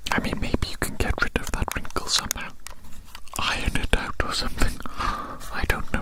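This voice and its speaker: Casting: ASMR performer as doctor, fully whispered and breathy, playful, repetitive, meandering delivery. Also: rough recording. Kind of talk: whispered ASMR medical roleplay.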